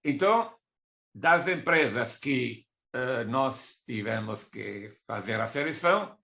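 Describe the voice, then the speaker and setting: Older Italian man, bright forceful voice. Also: medium pitch, lively intonation, slow, vocal fry. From Italy. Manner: didactic, with asides and listed examples. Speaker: export consultant giving a talk. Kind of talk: explanatory business presentation.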